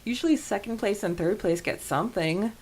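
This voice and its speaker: A woman's voice: mid-range voice, brisk, darkish tone, slight background noise. A woman co-hosting a podcast, talking in a chatty, informal way about a book. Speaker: podcast co-host. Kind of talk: casual book discussion chat.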